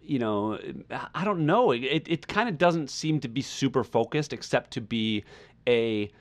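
A man with a middling pitch talks casually as a podcast reviewer. He gropes for the right words, repeating himself and circling back as he shapes his opinion. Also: animated, normal speed. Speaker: podcast co-host reviewing music. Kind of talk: casual conversational album review.

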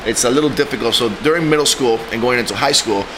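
A man's voice: medium pitch, fast talker, background noise. A man from Cuba speaking casually as a podcast guest, emphatic and often repeating his words for stress. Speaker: guest, bar general manager.

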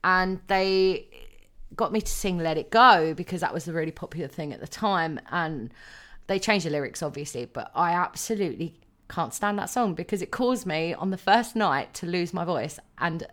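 A woman's voice: on the low side, animated, normal speed, a bit bright. A young woman co-hosting a podcast, chatting casually with many ums and frequent laughs. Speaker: podcast co-host. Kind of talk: casual chat.

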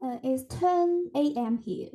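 A woman's voice: high-pitched, measured pace, mellow. A woman hosting a podcast, reading scripted introductions and questions in a formal, presentational way. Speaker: podcast host.

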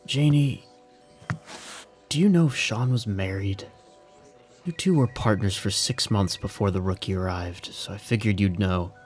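Understated, unflappable captain, male actor, slightly deep voice, quiet authority for a police radio play. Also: faint music behind, close to an everyday voice. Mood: calm, probing. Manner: dry, understated, unhurried authority. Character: dry, steady male police captain in command. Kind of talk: audio drama acting.

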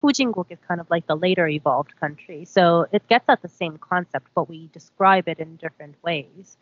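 Low-voiced woman, thoughtful podcast talk. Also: fast. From South Korea. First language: Korean. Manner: thoughtful, hedging, lots of "I think" and "like". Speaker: podcast co-host. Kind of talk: casual podcast discussion. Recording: dull lo-fi sound.

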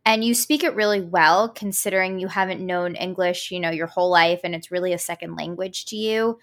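A woman's voice: lowish voice, fairly even intonation, brisk, fairly bright tone. A woman hosting a travel podcast who talks in an upbeat, gushing way and praises her guest often. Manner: upbeat, gushing, many "I love that". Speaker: podcast host. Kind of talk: enthusiastic podcast interview.

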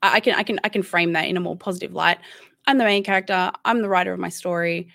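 A woman's voice: lowish voice, fast, vocal fry, a bit bright, no echo. Rambling Australian woman, dry close sound. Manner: candid and rambling, with many restarts. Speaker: guest. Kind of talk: casual interview chat.

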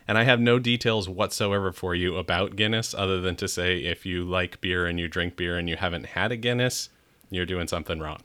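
A man's voice: sits low, a little fast, sharp tone, clean tone. A middle-aged man hosting a podcast in a chatty, upbeat way, with the odd restart. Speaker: podcast host. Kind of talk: casual chat.

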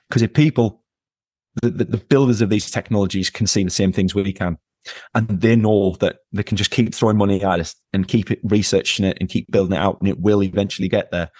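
A man with a fairly low voice talks as a podcast guest, leaning hard on repeated words to drive his points home. The sound is close and dry, with no room echo. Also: darkish tone. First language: English. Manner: emphatic, repeats words for stress. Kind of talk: conversational interview.

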